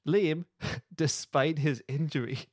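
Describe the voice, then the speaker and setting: A man's voice: on the high side, slow, darkish tone, husky, no room sound. A man hosting a podcast, reading script narration aloud in an amused way. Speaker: podcast host.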